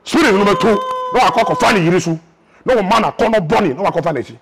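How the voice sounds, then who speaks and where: A man's voice: high-pitched, animated, a little fast. A Ghanaian man in his thirties, a prophet explaining spiritual matters emphatically in an interview, recorded on a lapel mic indoors. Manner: emphatic, gestures widely, mixes Twi and English. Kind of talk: animated interview talk. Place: indoor church hall set with flowers.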